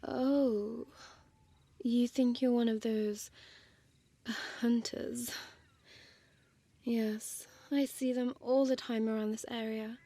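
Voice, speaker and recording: A woman plays a female vampire toying with her prey in an intimate audio roleplay. Her voice is fairly high and breathy, often sinking into whispers. She speaks extremely slowly, mocking and condescending, with a seductive predator's menace. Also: steady tone, warm tone, dry recording. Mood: mocking, teasing. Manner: slow, teasing, condescending, formal diction.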